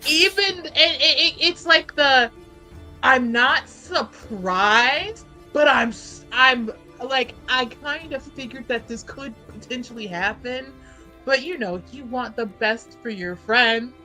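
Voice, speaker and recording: A woman narrating a character in a tabletop roleplaying game, casually improvising in a halting, emphatic, conversational way. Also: high-pitched, sharp tone, soft background music, rough recording.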